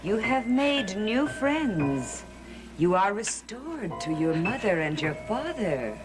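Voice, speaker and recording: Loud music behind, soothing woman, very slow, coaxing, deliberate, gothic soap style. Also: mid-range voice, sing-song. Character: calm, coaxing woman comforting a distraught one. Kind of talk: gothic soap opera acting.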